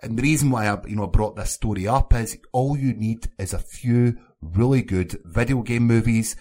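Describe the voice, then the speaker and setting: A man's voice: medium pitch, normal speed, dark tone. A man hosting a casual podcast chat, giving his opinions and putting questions to his co-hosts. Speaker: podcast host.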